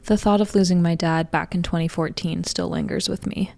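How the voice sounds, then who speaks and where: A woman's voice: fairly low pitch, fairly even intonation, a little fast, gravelly, darkish tone, no room sound, soft background music. A woman reading a scripted first-person personal essay as its writer.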